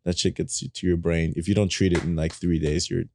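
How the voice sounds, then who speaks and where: Brief: German-native man, 30s, low-voiced, dry studio sound, lively laughing storyteller. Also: brisk, gravelly, no room sound. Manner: animated, laughing, swearing freely. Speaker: podcast guest, explorer. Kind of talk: casual storytelling in a podcast. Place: small indoor podcast studio. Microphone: boom mic on a stand.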